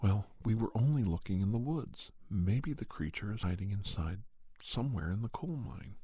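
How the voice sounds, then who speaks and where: A soft-spoken man telling a first-person story in an ASMR style, often dropping toward a whisper. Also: fairly low pitch, animated, normal speed, breathy edge, no echo, clean studio sound. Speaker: storyteller and podcast host.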